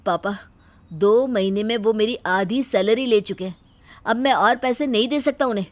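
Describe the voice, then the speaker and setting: An aggrieved young man of the household, acted by a woman, protests in a fairly high voice. He is a put-upon grown son in a storybook family tale. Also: moderate pace, faint music behind, close to an everyday voice.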